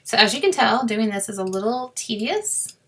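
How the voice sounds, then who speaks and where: A woman with a sore, scratchy throat, explaining a crafting software task step by step in a chatty way and muttering to herself as she clicks. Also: a little high, big pitch swings, normal speed. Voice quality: raspy with creaky fry. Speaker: crafting tutorial host. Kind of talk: screen-recorded software walkthrough.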